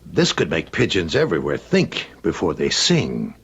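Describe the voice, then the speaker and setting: An actor playing an uncouth gangster errand man, deferential yet casually menacing, with halting slangy talk and bad grammar in a radio crime drama. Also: extremely low pitch, wildly swooping pitch, fairly bright tone, heavily acted. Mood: pressing. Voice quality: gravelly, husky. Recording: dull lo-fi radio recording.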